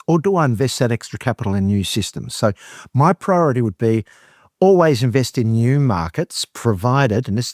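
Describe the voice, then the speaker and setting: Male guest on an interview podcast, measured and deliberate delivery; mid pitch, dry sound. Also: no room sound. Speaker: guest expert. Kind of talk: conversational interview.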